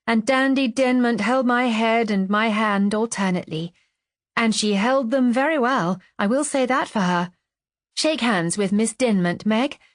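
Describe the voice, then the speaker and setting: A woman's voice: a little high, dry recording, studio quality, pitched above the performer's own voice. Woman putting on a breezy, drawling society lady. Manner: breezy, effusive.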